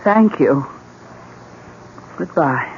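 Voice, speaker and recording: A woman's voice: low voice, lively intonation, drawn-out words, full character voice. An actress playing an earnest, uneasy wife who presses firmly with her questions, in a 1970s radio drama. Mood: anxious, insistent. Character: earnest, insistent, uneasy wife and new lodge owner. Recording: muffled old radio broadcast, hissy.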